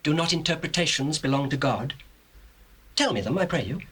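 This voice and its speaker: Devout young servant, high-pitched, slightly husky, earnest, measured, biblical drama. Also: male voice, lively intonation, a bit bright. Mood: gentle. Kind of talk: dramatized scripture acting.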